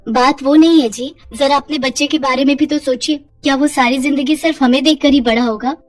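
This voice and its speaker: A woman's voice: high-pitched, fairly even intonation, a little fast, faint music behind, lightly acted. A woman voicing an anxious but sensible young village wife, fretful and pleading, in a moral story.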